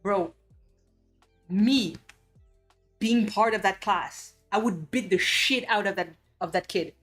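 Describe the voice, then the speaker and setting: A woman's voice: medium pitch, slow, a bit bright, faint music behind. A woman from Belgium, a streamer chatting casually and ranting bluntly with cursing and many restarts. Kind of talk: casual chat.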